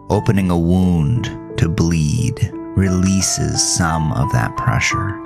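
A man narrating a scripted first-person horror story in a measured, dramatic way with pauses. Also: deep voice, vocal fry. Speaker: host and author reading own horror story.

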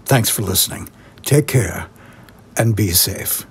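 A man's voice: lowish voice, takes it slowly, a little hiss or hum. A man hosting a mock podcast interview in a conversational way, polite and a little hesitant.

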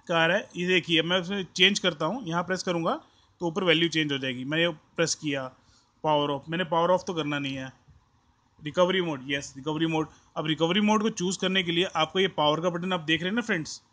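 A man's voice: on the high side, bright, forceful tone. A man with an Indian accent giving an informal hands-on tutorial, explaining step by step and repeating himself. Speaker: tech tutorial host. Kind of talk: informal hands-on tutorial, mixing Hindi and English.

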